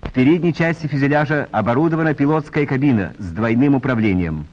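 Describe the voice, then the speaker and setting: A man reading scripted narration in a formal, measured announcer style, as in a 1940s training film. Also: mid-range voice, animated, darkish tone. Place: voice-over recorded for a film. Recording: muffled vintage 1940s film soundtrack.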